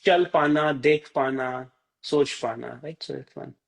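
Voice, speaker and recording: A man's voice: mid-range voice, a little slow, creaky. A man from India teaching a Hindi class over a video call, rambling and full of fillers like "you know".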